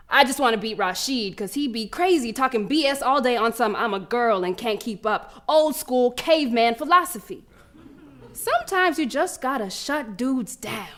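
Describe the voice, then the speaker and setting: A woman's voice: on the high side, a bit bright. A woman playing a fierce, cocky preteen American girl runner in a live theatrical monologue, telling her story in brash, slangy street talk. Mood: confident, determined. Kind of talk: first-person theatrical monologue performed live.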